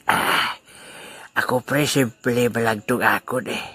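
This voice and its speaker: A man's voice: mid-range voice, steady tone, slow pace, sharp tone, slightly rough, no room sound, exaggerated voice. A man voicing a good-natured old grandfather, joking and laughing with his friend and muttering asides to himself in an amateur audio drama. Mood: jovial. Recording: noisy amateur recording.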